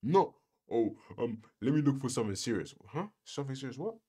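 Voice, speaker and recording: A man's voice: mid-range voice, big pitch swings, a little fast, mellow, slightly rough. A man hosting a reaction video, giving sarcastic, lecturing commentary full of rhetorical questions.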